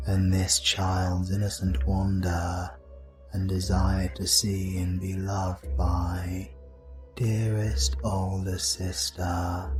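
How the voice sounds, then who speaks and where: A man playing a calm, scheming demon lord in a fantasy audio drama, speaking in a slow, deliberate, commanding way. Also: low voice. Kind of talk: fantasy audio roleplay voice acting.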